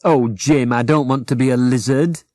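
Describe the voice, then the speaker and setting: A man's voice: mid-range voice, steady tone, moderate pace, darkish tone, dry recording, close to an everyday voice. A man voicing a sensible, slightly fussy girl rag doll in a children's storybook. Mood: fussy, reluctant.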